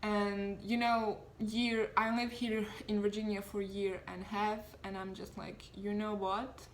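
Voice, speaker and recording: A woman's voice: mid-range voice, level tone, a little slow, rough recording. A woman in her twenties from Serbia chats her way through a makeup routine at home. She sounds self-deprecating and loose, and her mid-range voice carries lots of "you know" and "like." A faint hiss of noise sits under the recording. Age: 20s. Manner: chatty, self-deprecating, many fillers. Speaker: beauty vlogger. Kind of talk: casual makeup tutorial chat.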